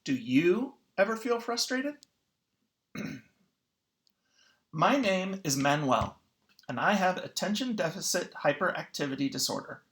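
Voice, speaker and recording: Someone reading a children's picture book aloud in an expressive, teacherly way. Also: mid-range voice, a little slow, long pauses. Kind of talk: children's read-aloud narration.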